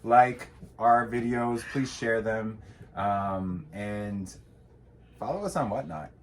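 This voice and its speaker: A man's voice: lowish voice, slow. Teasing man, faint background noise. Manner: teasing, joking banter. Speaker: co-host of a reselling channel. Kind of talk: casual chat.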